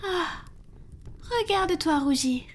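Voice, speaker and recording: A woman playing a powerful, protective female vampire in an ASMR roleplay, speaking in a soft, breathy, formal and self-assured way. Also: very high-pitched, slow pace, slight background noise. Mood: tender, reassuring. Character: powerful protective female vampire, commanding yet tender lover.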